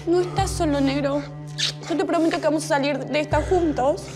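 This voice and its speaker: A woman's voice: sits high, fairly even intonation, a little fast, music in the background, natural voice. A woman playing a pleading devoted wife. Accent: Rioplatense Spanish. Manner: pleading, urgent. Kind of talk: drama acting.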